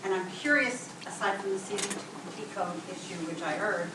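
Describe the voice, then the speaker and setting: A woman in the audience asking the presenter a quick, curious question. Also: medium pitch. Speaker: audience member. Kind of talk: question from the floor. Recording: echoey room, distant mic, noise.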